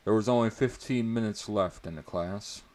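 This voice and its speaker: A man giving a theatrical dramatic reading of first-person prose, with a deliberate, emphatic, mock-serious delivery. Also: fairly low pitch, a little mellow. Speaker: reader performing a book chapter.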